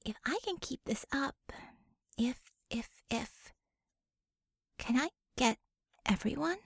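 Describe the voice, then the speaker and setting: A woman plays a female medic in charge, from an audiobook story. She is motherly but bossy, with a wry, salty informality and a fairly high voice, recorded very dry. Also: lively intonation, slow, gravelly, slightly rough, no room sound, heavily acted. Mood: private doubt.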